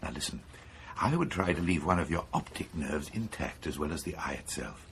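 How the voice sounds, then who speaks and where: An actor playing an eager, persuasive neurosurgeon with a macabre obsession in a radio horror drama, speaking insistently and clinically with a British accent. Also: male voice, very deep voice, animated, brisk, vocal fry, a bit bright, full character voice. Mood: brisk, coaxing. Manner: insistent, enthusiastic, coolly clinical lecturing. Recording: faint background noise.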